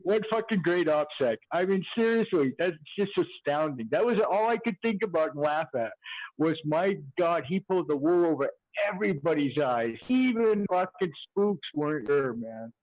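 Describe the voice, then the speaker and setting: A man with a hoarse voice talks off the cuff as an activist on a panel stream. He rambles and swears freely in loose slang. The sound is dry and slightly dull. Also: a little high, lively intonation, normal speed, a little mellow, no room sound. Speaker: activist journalist on a livestream panel. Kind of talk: casual impassioned panel chat.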